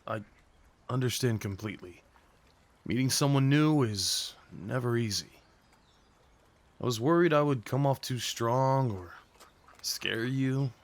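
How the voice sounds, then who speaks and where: A man playing a shy, sweet gentleman on a first date in an ASMR roleplay, speaking in an earnest, hesitant, tender way. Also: mid-range voice, a little slow, long pauses, close to an everyday voice. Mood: nervous, earnest. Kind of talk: ASMR roleplay, intimate scripted acting.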